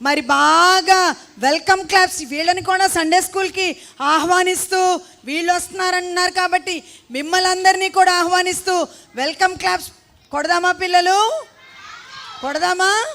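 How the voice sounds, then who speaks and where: Very high-pitched woman leading children. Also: moderate pace, bright, forceful tone. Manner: encouraging, urging children to join in. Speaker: children's Sunday school host. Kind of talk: leading children at a puppet show. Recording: faint ambient noise.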